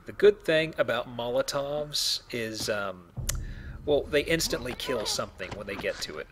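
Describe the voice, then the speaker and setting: A man's voice: medium pitch, normal speed, a bit bright, a little hiss or hum. Rambling man explaining a video game. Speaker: let's play host, playing the game. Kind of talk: casual game commentary and explanation.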